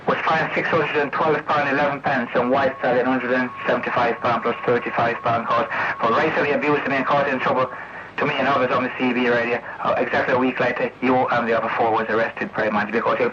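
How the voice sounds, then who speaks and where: A man holds forth on CB radio through loud noise and a dull, muffled tape sound. His voice sits at a medium pitch. He is accusatory and repetitive, swearing and pressing his listeners with challenging little questions. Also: little pitch movement, a little fast. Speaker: CB radio operator. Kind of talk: rambling confrontational monologue over CB radio.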